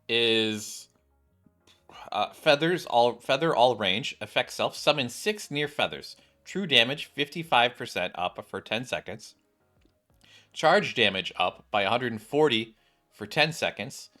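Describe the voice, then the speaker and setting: A young man doing casual game commentary, reading on-screen text aloud and thinking out loud. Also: medium pitch. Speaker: gaming streamer.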